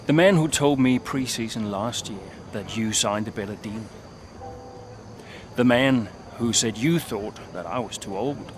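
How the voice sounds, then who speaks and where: An actor playing a veteran male racing driver, proud and rueful, in a game cutscene, with a low-key, measured delivery. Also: slight background noise, natural voice.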